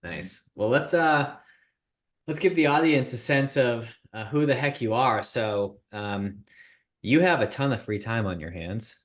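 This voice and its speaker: A man's voice: medium pitch, measured pace. A man in his thirties hosting a business podcast, chatting casually with candid, self-deprecating humor. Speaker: podcast host.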